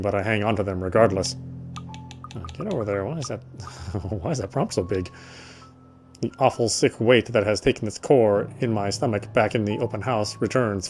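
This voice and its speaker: A man's voice: lowish voice, a little mellow. Man narrating over game music. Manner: dramatic reading with offhand asides. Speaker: game streamer. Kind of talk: reading visual novel narration aloud.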